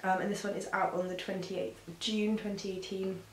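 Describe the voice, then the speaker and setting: Husky woman with a sore throat. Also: medium pitch, flat delivery, normal speed. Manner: chatty, frequent ums, upbeat about books. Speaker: book reviewer. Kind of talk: casual chat to camera. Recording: roomy echo with faint hiss.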